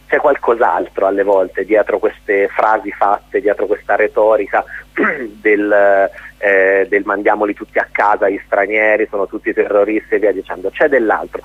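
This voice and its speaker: A man with a fairly low, husky voice gives a radio interview over a narrow phone line. He explains his work haltingly, reaching for words and clearing his throat as he goes. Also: no room sound. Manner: hesitant, searching for words, frequent throat-clearing. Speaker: theatre director and co-author, interview guest.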